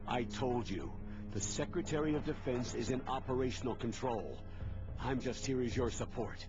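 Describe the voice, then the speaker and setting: A man performs a defensive military colonel in a video game cutscene. His mid-pitched voice is emphatic and authoritative. It sounds a little dull, with clear music behind it. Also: normal speed, close to an everyday voice. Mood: defensive, firm. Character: male colonel, mission commander, firm and defensive.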